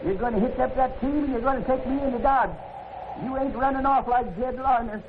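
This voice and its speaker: Ornery old Western hunter, rustic American accent, cracked high voice, vintage radio drama, thin band with slight noise. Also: male voice, fairly quick. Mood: threatening, suspicious. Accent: rustic American West. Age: older. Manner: folksy, ungrammatical, ornery. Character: cantankerous, suspicious old buffalo hunter, stranded and vengeful. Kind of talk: 1950s radio western acting. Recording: tinny, faintly noisy 1950s radio recording.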